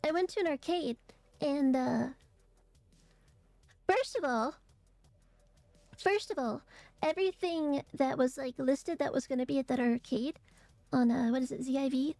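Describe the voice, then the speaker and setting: A young woman streamer chatting casually and rambling with fillers, her voice a little hoarse and raspy from allergies. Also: high-pitched, dark tone, no room sound. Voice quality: raspy, phlegmy throat. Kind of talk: casual chat to stream viewers.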